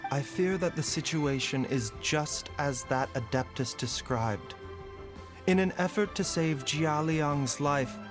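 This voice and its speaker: A man voicing a composed doctor in a video game, speaking in a measured, formal way. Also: fairly high pitch, close to an everyday voice. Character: calm male doctor, measured and careful. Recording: game audio with faint music behind.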